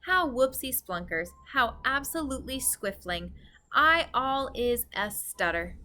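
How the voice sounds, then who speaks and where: A woman's voice: on the high side, expressive, unhurried, pushed and bright. A woman voicing a big, kindly, bumbling male giant from a storybook, theatrical and earnest, mangling his words. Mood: delighted.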